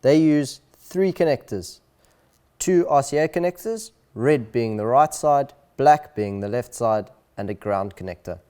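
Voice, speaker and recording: A man presenting an instructional video in a clear, deliberate, step-by-step way. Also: mid-range voice, expressive, dark tone. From South Africa.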